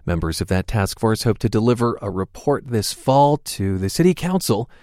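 A man reporting a news piece and interviewing a guest in a measured, inquisitive way. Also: fairly low pitch, expressive, a little mellow. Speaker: radio reporter.

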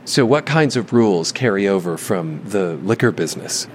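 A man reporting a news piece and interviewing a guest in a measured, inquisitive way. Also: low-pitched, expressive, a little hiss or hum. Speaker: radio reporter.

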